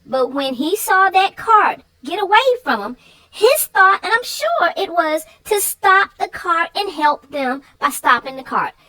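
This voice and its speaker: A woman's voice: extremely high pitch, expressive, unhurried, pushed and bright, low-quality recording. A woman co-hosting a children's read-aloud show, chatting about a story with young viewers.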